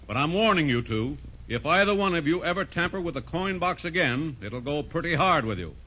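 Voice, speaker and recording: An actor playing a stern, formal judge with an authoritative manner in a vintage radio sitcom. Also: male voice, fairly high pitch, expressive, fairly quick, pushed and bright. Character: stern, formal night court judge, a man. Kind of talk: 1940s radio sitcom acting. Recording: muffled, noisy vintage radio recording.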